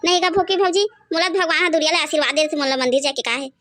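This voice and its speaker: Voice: high voice, fast, bright, forceful tone. A performer voicing a cheeky, idle village lad who grumbles and teases in a Chhattisgarhi accent for a comedy cartoon.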